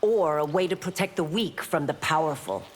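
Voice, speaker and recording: An actress playing a stern, authoritative constable in a video game, speaking in a firm, formal, commanding way. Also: female voice, low voice, normal speed, natural voice. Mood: stern warning. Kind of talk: video game character acting. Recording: long reverb, faint background noise.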